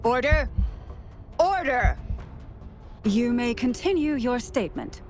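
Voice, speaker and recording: An actress playing a stern, composed magistrate who presides over a hearing, formal and authoritative, in a video game. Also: female voice, mid-range voice, animated, moderate pace, a little mellow, faint music behind, natural voice. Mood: commanding. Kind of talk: scripted video game dialogue.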